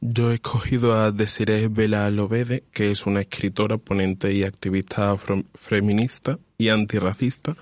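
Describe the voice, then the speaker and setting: Young man, low voice, flat delivery, dry close recording, thoughtful podcast panelist. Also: dry recording. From Spain. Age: 20s. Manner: measured, thoughtful, hesitant fillers. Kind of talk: podcast discussion.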